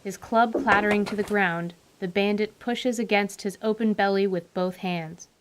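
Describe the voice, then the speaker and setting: A woman's voice: medium pitch, fairly even intonation, normal speed, slight background noise, poor audio. A woman giving dramatic, scripted narration for a fantasy radio play. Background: music.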